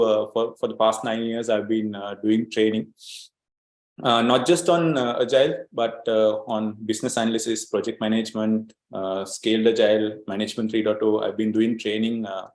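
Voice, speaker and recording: A man's voice: lowish voice, darkish tone, echoey room. A man from Sri Lanka presenting an online training webinar as an agile coach, explaining steadily with many fillers and repeated words.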